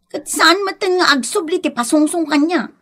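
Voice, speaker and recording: An actress playing a fiery, scolding wife with a Filipino accent in a melodramatic radio comedy drama. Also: female voice, very high voice, normal speed, bright, forceful tone. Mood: upset, questioning.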